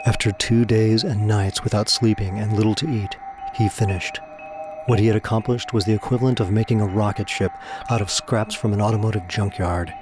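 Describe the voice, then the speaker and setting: A man's voice: lowish voice, fairly even intonation, a little fast, music in the background. A man delivering scripted, dramatic story narration for a science fiction audio drama.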